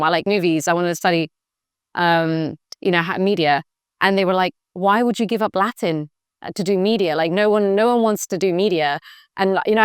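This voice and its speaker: A woman's voice: on the low side, smooth, no echo, clean studio sound. A young woman answering interview questions in a chatty, enthusiastic way, with lots of "like" and "you know". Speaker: guest, former government official. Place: campus recording room.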